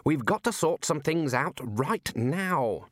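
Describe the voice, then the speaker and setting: A man's voice: fairly high pitch, animated, takes it slowly, fairly bright tone, no room sound, voice pushed up, heavily acted. An earnest junior wizard, acted by a man, speaks in a slightly raised voice. He is polite and doubtful yet keeps pressing his point, a storybook worrier heard close and dry. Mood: pleading. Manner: hesitant, polite, persistent. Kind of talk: audiobook character voice.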